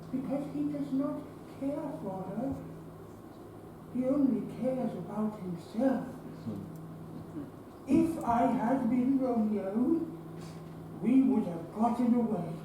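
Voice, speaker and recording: A gentle, deformed young Victorian man, played by a young male stage actor, speaks very slowly and earnestly in halting phrases. The sound is distant and very echoey, as from a theatre stage, with noise behind it. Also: extremely high pitch, heavily acted. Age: young adult. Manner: halting, deliberate short phrases. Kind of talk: live stage drama acting. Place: theatre stage, recorded from afar.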